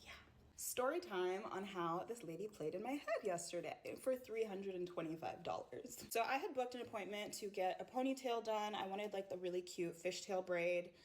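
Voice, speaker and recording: A woman's voice: mid-range voice, lively intonation, moderate pace. A woman from the United States telling a chatty story time, acting out bits of dialogue. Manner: conversational, acts out dialogue.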